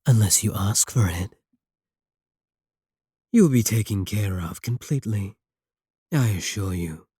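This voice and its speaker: A man performs as an evil warlock in an intimate audio roleplay, seductive and possessive. The voice is husky and mellow, very close and dry. He teases in slow, deliberate phrases, manipulative and sure of his hold on the listener. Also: fairly low pitch, lively intonation, no echo. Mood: possessive, pleased.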